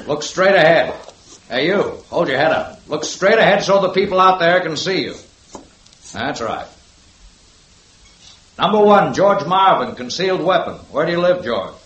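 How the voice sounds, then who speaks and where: An actor playing a brisk male police sergeant in a 1950s radio drama, giving crisp, matter-of-fact commands. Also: on the high side, animated, fairly bright tone, highs cut off. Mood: commanding. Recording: echoey old radio recording with hiss.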